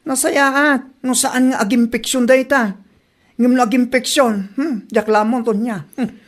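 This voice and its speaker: A woman's voice: fairly high pitch, steady tone, a little slow. A woman with an Ilocano Filipino accent playing a teasing, gossipy village friend in a comedy drama. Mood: teasing, chiding.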